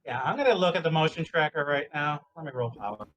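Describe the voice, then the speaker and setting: A man's voice: fairly high pitch, lively intonation, fast, a bit bright, highs cut off. A man in casual game chat as a tabletop player, with an explanatory way of talking.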